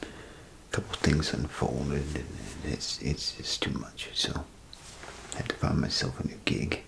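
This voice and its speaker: A man doing a soft-spoken, half-whispered ASMR role play as a chatty, grumbling bathroom attendant, rambling and hesitant in a folksy way. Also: extremely low pitch, flat delivery, measured pace, slight background noise. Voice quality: gravelly, breathy half-whisper. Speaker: ASMR performer.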